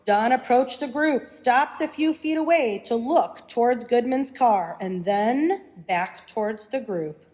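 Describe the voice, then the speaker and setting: A woman's voice: on the high side, a little slow, darkish tone. A woman reading stage directions from a dramatic script as narrator. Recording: reverberant room.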